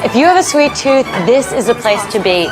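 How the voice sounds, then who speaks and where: A woman's voice: a little high, very animated, a little fast, over loud music, poor audio. A woman hosting a travel show, giving cheerful, scripted narration.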